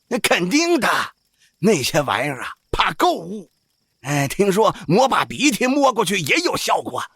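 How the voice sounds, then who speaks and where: An actor playing an old village opera-troupe boss, folksy, worldly and cautious, with a raspy, sighing, drawling delivery in an audio drama. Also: male voice, high voice, huge pitch swings, normal speed, fairly bright tone, dry recording, clean studio sound, exaggerated voice. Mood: folksy, puzzled. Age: older. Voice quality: raspy, creaky.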